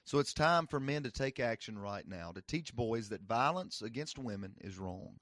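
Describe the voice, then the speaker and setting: A man delivers a scripted public service appeal in a mid-pitched voice. The recording is close and dry, and his delivery is earnest and direct. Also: animated, moderate pace, fairly bright tone, no room sound. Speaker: public service announcement spokesman.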